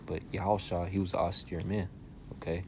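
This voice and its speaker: A soft-spoken young man teaching scripture casually, reading verses aloud and checking in with "all right?" and "okay?". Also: low voice, little pitch movement, fairly quick, background noise. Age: young adult. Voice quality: soft-spoken by his own account. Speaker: Bible teacher.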